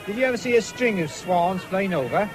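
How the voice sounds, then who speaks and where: A man's voice: high voice, animated, brisk, over music. An actor playing an easygoing, free-spending young countryman with a rural English accent in a 1970s radio drama, teasing and hesitant in dialect. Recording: noisy old radio broadcast, fairground din.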